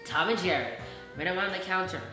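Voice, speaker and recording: A woman narrating a children's bedtime story in an expressive, dramatic way. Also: lowish voice, a little fast. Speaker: storyteller reading a children's book. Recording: very echoey room, music underneath. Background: music.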